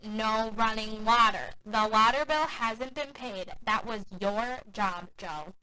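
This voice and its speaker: Bright, blunt sister played by a woman. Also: a little high, slow, rough recording. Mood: nagging. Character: blunt, exasperated sister, dry and unimpressed. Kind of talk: student radio drama acting. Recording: faint background noise.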